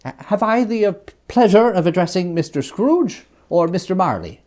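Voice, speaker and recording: A reader acting a courteous, hesitant gentleman, pitched high. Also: big pitch swings, moderate pace, lightly acted. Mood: polite, hesitant. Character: polite, hesitant, portly gentleman collecting for charity.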